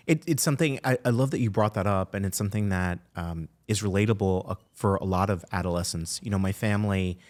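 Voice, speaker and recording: A man from Miami hosting a podcast, sharing his own background and asking his guest measured questions. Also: low-pitched, a little mellow. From Miami, USA. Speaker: podcast host. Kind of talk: interview conversation.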